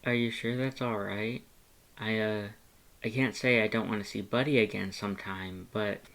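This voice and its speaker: A narrator voicing a friendly, sheepish animal shelter worker in an audiobook, low-key and slightly hesitant. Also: steady tone, moderate pace, a bit bright, natural voice. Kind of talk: second-person character dialogue in audiobook.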